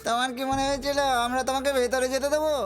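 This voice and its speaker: A man's voice: very high voice, level tone, rapid-fire, soft background music. A man voicing a mocking enchanted door that guards a tower in a cartoon, with a taunting manner. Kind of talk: cartoon character acting.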